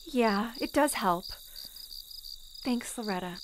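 An actress playing a frightened young bank teller on the run in a period suspense audio drama, hesitant and fretful. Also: female voice, on the high side, expressive, slow, a little hiss or hum. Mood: weary.

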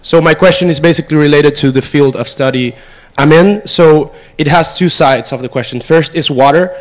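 A man's voice: on the high side, moderate pace. A man, a student asking a prepared, formal question from the audience. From Venezuela. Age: 20s. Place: auditorium audience. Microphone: handheld microphone.

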